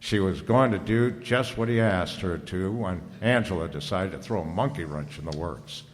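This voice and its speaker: A low male voice rings through a big hall. It is a man playing a cool private detective from an old radio mystery, slangy and hard-boiled, tossing out lines with sardonic confidence. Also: normal speed, natural voice. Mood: accusing, sardonic. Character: cool wisecracking private detective, narrating his own case. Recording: reverberant hall.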